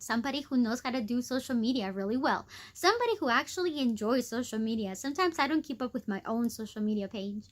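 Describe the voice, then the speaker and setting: A woman hosting a weekly devotional talk, earnest and encouraging, conversational with many fillers, with a squeaky voice. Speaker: host of a weekly devotional video. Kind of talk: devotional teaching.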